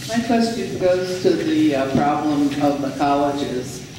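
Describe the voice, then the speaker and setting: A man asks a long question from the audience floor, hesitant and full of fillers. His voice is a little high and mellow. He sounds distant in a very echoey hall. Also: expressive, slow pace, background noise. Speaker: audience member asking a question. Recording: echoey hall, voice far from mic.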